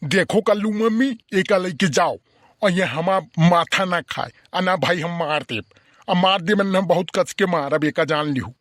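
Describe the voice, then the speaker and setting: A man's voice: sits high, unhurried, fairly bright tone, dry recording, studio quality. A man voicing a grumpy, irritable old village uncle in an Awadhi comedy cartoon, scolding and complaining in an Awadhi accent. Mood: irritated. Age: older.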